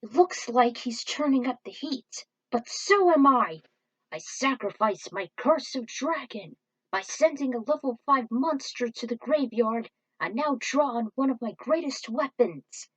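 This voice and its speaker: A woman reading as a confident young male duelist, calling out his monsters in loud, commanding declarations and pitching up a little above her usual voice. He sounds defiant and sure of himself in a fan-made storybook reading. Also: lively intonation, a little slow, poor audio. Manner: bold, loud proclamations.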